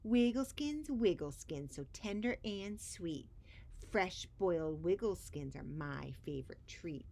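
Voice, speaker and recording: A woman's voice: on the low side, expressive, a little slow, gravelly, mellow, a little hiss or hum. A woman voicing a hideous, gleefully hungry monster in a storybook, savoring each rhyme in a sing-song way. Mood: gloating singing.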